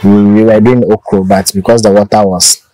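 Low-voiced man telling his story. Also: little pitch movement, fairly quick, warm tone, dry recording, soft background music, low-quality recording. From Ghana. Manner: easygoing storytelling with frequent restarts. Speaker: farmer, interview guest. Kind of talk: casual interview. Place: outdoors under a thatched shelter on a farm. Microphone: lapel mic.

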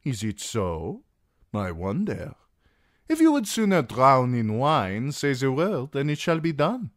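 A man putting on a fat, self-satisfied merchant lord who purrs his taunts in a genial, drawn-out, theatrical way. The voice sits noticeably higher than a plain deep baritone and swings widely in pitch. It is recorded dry and close. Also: wildly swooping pitch, no echo. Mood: mocking. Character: fat, jovial merchant magister, smug and needling. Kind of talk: audiobook character reading.

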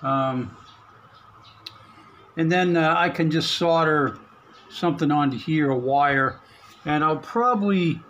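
A man giving casual, unscripted how-to commentary on an electronics project, halting as he thinks aloud. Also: fairly high pitch, fairly bright tone, lo-fi sound, slight background noise. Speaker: hobbyist narrating an electronics modification. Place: indoors at a work table.

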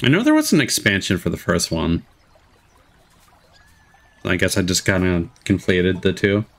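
A man's voice: deep voice, huge pitch swings, normal speed, vocal fry. A man giving casual live-stream reaction commentary in a sardonic way, with some swearing. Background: game showcase audio and music.

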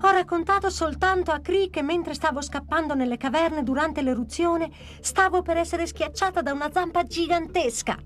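A woman voicing a small young female monkey in a cartoon, talking in a bright, childlike way. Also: very high-pitched, normal speed, hardly any pauses, soft background music. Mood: chatty.

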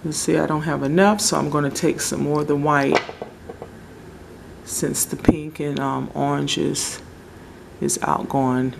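A woman casually talking through a home nail tutorial, relaxed and chatty, often searching for words. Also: low voice, measured pace, gravelly, long pauses, noisy background. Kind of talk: casual how-to narration. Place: home table, indoors.

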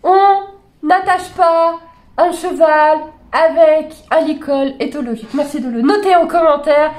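A woman in her forties with a fairly high, slightly hoarse voice talks straight into a desk webcam in a small bedroom. Her delivery is exasperated and incredulous, full of fillers and restarts. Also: measured pace, a little mellow. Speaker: horse channel host reacting to videos. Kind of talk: casual reaction commentary. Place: small bedroom with gaming chair.

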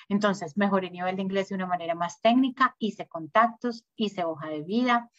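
Woman, upbeat presenter, clear and informative, dry slightly dull audio. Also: dry recording. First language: Spanish. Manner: informative, upbeat sales pitch. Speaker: school sales manager presenting. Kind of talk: webinar presentation.

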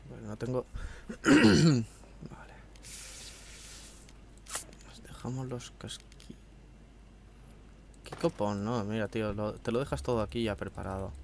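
A man's voice: fairly low pitch, lively intonation, takes it slowly, creaky, long pauses, noisy background. A man from with a slight cold, chatting casually and swearing while commentating a video game from home. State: has a cold, throat a bit hoarse. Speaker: gameplay commentator. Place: at home in a room.

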